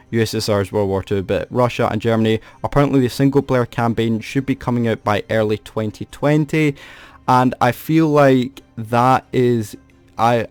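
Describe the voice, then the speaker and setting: A man's voice: medium pitch, a little mellow, clean tone. A man from the UK, hosting a gaming video and talking off the cuff. He rambles with many restarts and self-corrections, and sounds aggrieved about feeling ripped off. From United Kingdom. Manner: complaining, aggrieved. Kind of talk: unscripted gaming commentary to camera.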